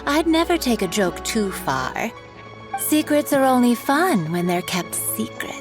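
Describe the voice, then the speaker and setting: A woman's voice: a little high, very animated, measured pace, over music. An actress playing a teasing, coquettish young woman shopkeeper in a video game, sly and lilting in manner.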